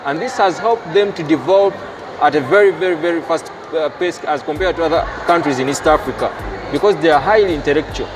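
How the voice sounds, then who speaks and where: Ugandan man, casual explainer, slightly high voice, music behind. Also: fast talker, a crowd in the background, rough recording. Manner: expansive, explains at length. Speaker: guest. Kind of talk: casual chat.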